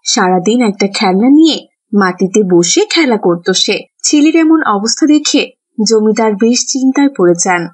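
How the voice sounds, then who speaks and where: A woman's voice: fairly high pitch, lively intonation, normal speed, husky. Female narrator for a kids' cartoon, telling a scripted tale. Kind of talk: scripted children's story narration.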